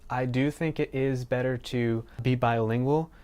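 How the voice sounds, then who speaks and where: A man of about twenty, a student answering documentary interview questions in a relaxed, conversational way. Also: mid-range voice, moderate pace, clean tone. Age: 20s. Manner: relaxed, conversational, with casual fillers.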